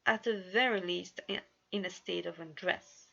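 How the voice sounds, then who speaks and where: A woman's voice: lowish voice, normal speed, gravelly, fairly bright tone, highs cut off. A woman voicing a formal, analytical man in a story reading, precise and wordy. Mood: puzzled.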